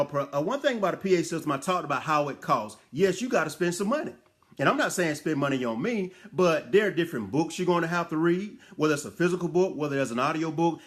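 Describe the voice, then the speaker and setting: A man giving a motivational talk in a preacher-like, exhorting way, emphatic and colloquial, addressing listeners directly with rhetorical questions and repeated phrases. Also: on the high side. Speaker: motivational speaker and host.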